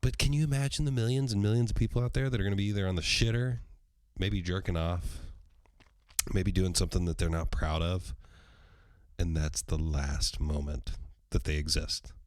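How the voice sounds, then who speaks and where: A hoarse man hosting a solo podcast livestream, talking in a rambling, profane way full of ums. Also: low-pitched, steady tone, moderate pace, a little mellow, no room sound. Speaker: podcast host.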